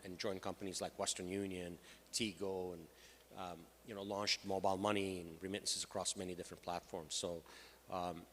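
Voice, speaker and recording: Low-voiced man in a reverberant hall. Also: fairly bright tone. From Lebanon. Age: middle-aged. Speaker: panelist, payments executive. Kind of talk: conference panel introduction, personal storytelling. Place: large conference hall.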